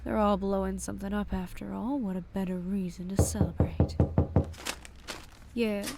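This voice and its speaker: A woman voices a poised lady who runs a speakeasy. Her mid-pitched voice is measured, genteel and wryly coaxing. There is faint noise behind this dramatic dub. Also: fairly even intonation, vocal fry, lightly acted. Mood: wry, musing. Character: poised, genteel, wry female speakeasy proprietress. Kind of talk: fan dub dramatic voice acting.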